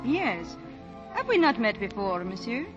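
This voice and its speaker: A woman's voice: on the high side, sing-song, unhurried, music in the background. A woman playing an elegant, coy foreign countess in 1940s radio style. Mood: playful, coy. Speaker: radio drama actor. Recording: muffled 1940 radio broadcast recording.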